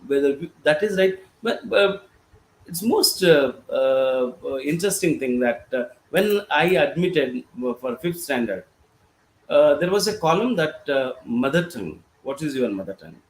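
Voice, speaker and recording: An Indian man with a fairly high voice speaks on a panel, slowly and haltingly, with many fillers and restarts. His pitch rises and falls in a lively way. The room around him sounds echoey. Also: slight background noise. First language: Gorboli. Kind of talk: panel discussion talk.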